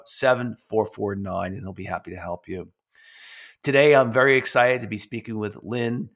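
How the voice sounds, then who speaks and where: A man hosting a podcast while he has a head cold, which leaves him nasal. He talks in a halting way, with many fillers and restarts. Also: fairly low pitch, a bit bright. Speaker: podcast host. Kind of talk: casual interview, reading sponsor spots.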